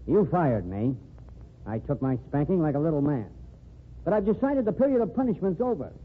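An actor playing a bitter, threatening fired reporter in an old radio drama. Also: male voice, a little high, big pitch swings, brisk, breathy edge. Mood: menacing. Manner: sneering, threatening. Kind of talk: 1940s radio acting. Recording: tinny lo-fi old radio transcription with faint hiss.

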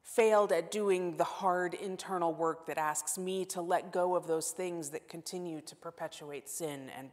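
A woman in her forties giving a sermon as guest preacher, earnest and self-deprecating, moving between emphatic lines and hushed asides. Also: sits low. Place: church sanctuary. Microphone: lapel mic. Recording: long church-hall reverb.

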